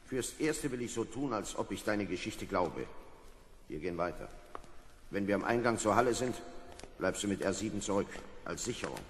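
A stern spaceship officer in a vintage audio drama. His lowish voice moves widely in pitch, authoritative and brusque, in a reverberant recording. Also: animated, moderate pace, slight background noise. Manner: authoritative, brusque stage diction. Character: stern, commanding male spaceship officer Steve. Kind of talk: 1970s German children's audio drama acting.

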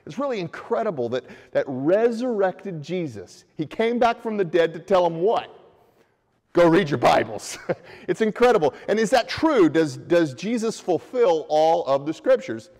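A middle-aged man preaching a sermon from a church pulpit, reading scripture and rising in pitch with energy as he presses his points. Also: normal speed. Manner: animated preaching with raised hands, voice climbing when stressing a point. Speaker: pastor. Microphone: far camera. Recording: long church reverb.

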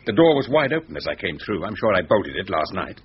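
An actor playing a sceptical, exasperated husband in a thriller radio drama, with a dry, sardonic, practical manner. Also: male voice, low voice, very animated, fast talker. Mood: brisk, dry. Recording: dull lo-fi radio recording, faint noise.

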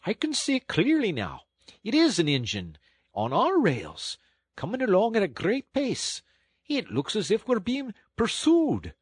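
A man voicing a stern but kindly train engine driver who speaks in a firm, plain way, in a husky voice. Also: mid-range voice, measured pace, no room sound, studio quality. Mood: alarmed calling. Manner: steady, firm and plain-spoken. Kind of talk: audiobook storytelling.

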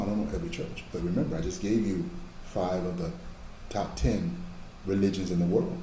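A preaching man with a mellow low voice. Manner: preaching, rising to emphatic bursts then dropping to quiet asides. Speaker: pastor. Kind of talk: sermon. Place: small office with a large painting behind. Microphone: webcam on a video call. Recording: echoey room with faint background hum.

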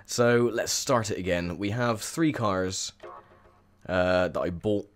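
A young man with a croaky, sore voice, chatting casually through gameplay commentary with lots of ums. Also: lowish voice, takes it slowly. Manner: chatty, rambling with many ums. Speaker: gaming video host.

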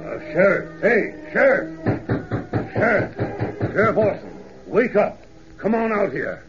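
An actor playing an authoritative small-town banker with a rural Western American accent in an old radio drama. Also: male voice, high voice, big pitch swings, takes it slowly, music in the background, exaggerated voice. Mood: urgent shouting. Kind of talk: 1930s radio drama acting. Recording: muffled, lo-fi 1930s radio recording.

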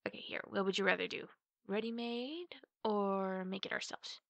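A playful, affectionate big sister in an ASMR roleplay, acted by a woman. Her voice has a clear creak at a middling pitch, and she chats teasingly, stretching words and dropping into hushed asides. The sound is dry, with no room echo. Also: normal speed. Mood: playful, eager. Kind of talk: ASMR roleplay, spoken to the listener.

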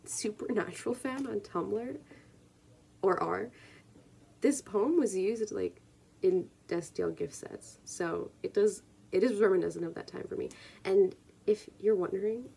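A woman in her twenties reading poetry and chatting casually on her own video at home, in a measured, deliberate way. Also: mid-range voice, gravelly, dark tone, slightly rough. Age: 20s. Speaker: poetry reader and video creator. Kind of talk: spoken word poetry reading with casual introduction. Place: small room at home, indoors.

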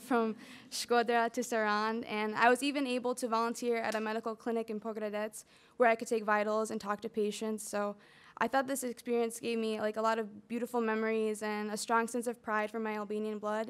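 A woman's voice: a little high, smooth. A woman in her early twenties from the United States, giving a prepared student speech at a podium with casual asides. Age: early 20s. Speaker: student speaker. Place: lecture hall, at a podium.

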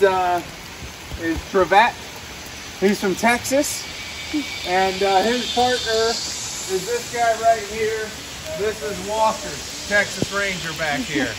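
A man showing off his llamas in a farm yard, easygoing with small fillers, picked up by a phone camera a few steps away. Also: high voice. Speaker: llama trek guide. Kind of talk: casual introductions. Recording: noisy outdoor phone recording.

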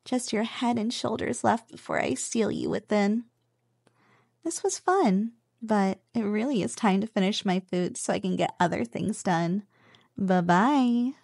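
A woman playing a playful, possessive but affectionate slime girl roommate in an ASMR roleplay, speaking softly and breathily with a teasing manner. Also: medium pitch, gravelly, breathy edge, dry recording, clean studio sound. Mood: smug, teasing. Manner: playful, teasing, slow and savoring.

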